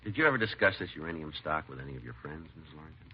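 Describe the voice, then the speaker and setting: A stoic police detective in an old radio crime drama. His voice is extremely low, with a quick, clipped, deadpan delivery. The vintage recording sounds dull. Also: slight background noise. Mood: probing, flat. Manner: deadpan, clipped, matter-of-fact. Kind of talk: 1950s radio police drama, dialogue and narration.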